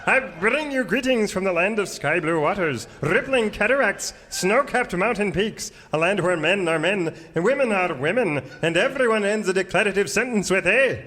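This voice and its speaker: An actor playing a proud, chirpy Mountie sergeant with a Canadian accent and a hearty formal manner, in comic radio theater. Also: male voice, sits high, animated, normal speed, few pauses, bright, forceful tone. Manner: hearty, formal, ends sentences with "eh". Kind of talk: comic Western radio theater sketch before an audience. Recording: reverberant hall, crowd, faint noise.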